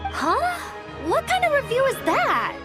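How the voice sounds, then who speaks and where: A woman's voice: very high-pitched, wildly swooping pitch, unhurried, over music, heavily acted. A woman voicing a chatty young little sister in a game cutscene, frank and a little reproachful. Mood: curious. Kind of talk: animated game cutscene acting.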